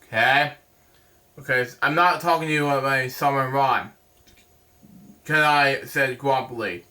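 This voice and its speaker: A man hosting a storybook read-aloud, halting and stumbling over words, drifting into rambling casual asides. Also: medium pitch, bright, forceful tone.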